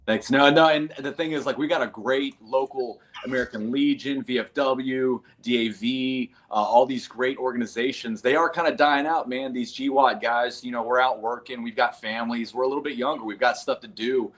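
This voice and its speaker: A man's voice: medium pitch, fairly even intonation, moderate pace, hardly any pauses, a bit bright. Earnest folksy man in his thirties. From North Carolina. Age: 30s. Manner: earnest, folksy, calls listeners man and brother. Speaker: guest, combat veteran. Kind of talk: casual interview chat.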